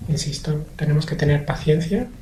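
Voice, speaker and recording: Man, young adult, from Spain, interview guest, hesitant, full of fillers, mellow dark tone, echoey room. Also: fairly high pitch, slight background noise. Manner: measured, hesitant with many fillers. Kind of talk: thoughtful conversational interview.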